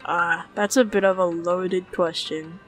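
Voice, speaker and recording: A woman voicing an apathetic fast-food cashier in a cartoon comic dub, weary and unimpressed. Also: on the low side, faint music behind. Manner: flat, hesitant, unimpressed.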